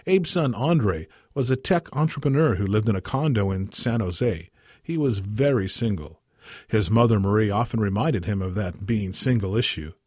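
Male narrator for a short story, low husky voice, dry recording. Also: animated, moderate pace, darkish tone, no room sound. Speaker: story reader.

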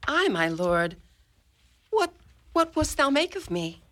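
A woman playing a devoted, anxious wife in an amateur Shakespearean radio drama, speaking in a measured, earnest manner. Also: high-pitched, sing-song, a bit bright, exaggerated voice. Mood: pleading, distressed. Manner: measured, earnest archaic delivery.

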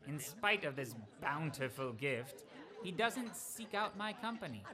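Man voicing a jolly young nephew, high and bouncy voice, audience noise behind. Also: unhurried, crowd noise. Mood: merry. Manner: merry, laughing, lively. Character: Scrooge's cheerful young nephew Fred, warm host.

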